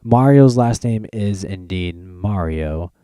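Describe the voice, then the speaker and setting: A man's voice: lowish voice, animated, takes it slowly, warm tone, dry recording, clean studio sound. A man hosting a podcast, bantering casually about films in a teasing, opinionated way. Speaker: podcast host.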